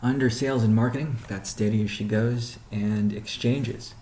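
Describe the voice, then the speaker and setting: A man leading an informal business update call as a chief executive, explaining things in a measured way with pauses mid-sentence. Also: lowish voice, fairly even intonation, warm tone, slight background noise. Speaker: company CEO hosting a weekly update call. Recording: roomy, echoing sound.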